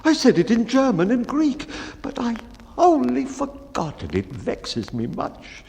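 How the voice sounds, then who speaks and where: A man voicing a timid, mournful baker in a dramatic poetry reading, with a tearful, drawn-out lament. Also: very high voice, huge pitch swings, vocal fry, a little mellow, long reverb tail, slight background noise, voice pushed up, exaggerated voice. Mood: anguished.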